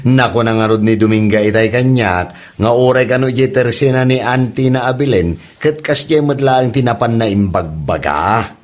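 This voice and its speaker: A man's voice: medium pitch, moderate pace, natural voice. A man playing an easygoing, amused neighbour in a comedy drama, with an Ilocano accent. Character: easygoing older-brother-like male customer, amused and approving.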